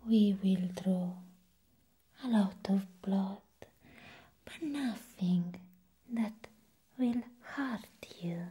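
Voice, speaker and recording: Woman acting a slow whispering vampire nurse. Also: medium pitch, full character voice. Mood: sweetly creepy. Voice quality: breathy whisper. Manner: slow, teasing, with drawn-out hums and soft chuckles. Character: crazy seductive female vampire nurse, softly menacing. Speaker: ASMR performer. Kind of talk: whispered ASMR roleplay. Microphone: close microphone, face near the camera.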